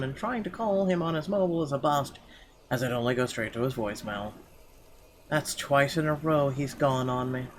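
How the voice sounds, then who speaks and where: A man hosting a gaming video, reading visual novel narration aloud with conversational asides. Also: mid-range voice. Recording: game music under the voice.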